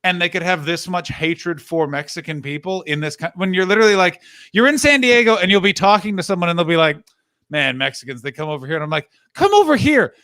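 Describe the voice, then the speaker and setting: A man from Canada telling stories in a casual chat, animated and acting out what people said. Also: high-pitched, fast talker, no room sound, clean studio sound. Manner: animated storytelling, swearing, acts out quotes.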